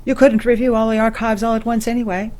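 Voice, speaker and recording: A woman's voice: fairly high pitch, little pitch movement, a little mellow, lightly acted. Woman playing a composed, polite starship aide. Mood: calm, firm. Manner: measured, formal, polite. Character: composed, deferential female starship aide, quietly firm. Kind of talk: science fiction audio drama acting.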